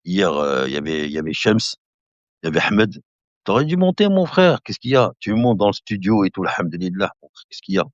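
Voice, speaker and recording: A man in his late fifties talks casually on a live stream, calling his viewers brother and laughing as he rambles. His voice sits very low. The sound is dull and lo-fi, dry and close with no room around it. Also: fairly quick, no echo. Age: 50s. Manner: chatty, addresses viewers as brother; laughing often, relaxed rambling.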